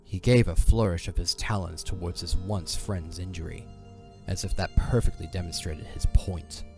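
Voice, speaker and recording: A man narrating a fantasy audio drama in a solemn, measured, dramatic storytelling style. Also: low voice, steady tone, gravelly, dark tone, faint music behind.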